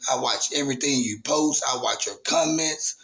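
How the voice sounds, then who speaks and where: Raspy dude just talking to his channel, lots of uhs and restarts, middle pitch. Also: fairly bright tone. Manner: halting, many fillers and restarts. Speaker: YouTube channel host. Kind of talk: casual rambling monologue.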